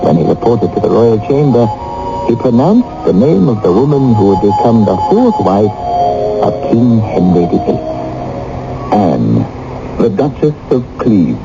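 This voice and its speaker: An actor playing a worldly German painter who narrates his story for a radio drama in a measured, wry way. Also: on the low side, very animated, creaky, heavily acted. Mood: measured, knowing. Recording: muffled old radio broadcast with music.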